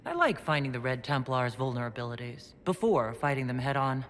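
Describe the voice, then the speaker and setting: Composed fantasy leader amid background noise. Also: male voice, mid-range voice, sing-song, a little fast, a bit bright. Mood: resolute. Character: resolute, practical fantasy leader commanding an inquisition. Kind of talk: video game voice acting. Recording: clear background noise and ambience.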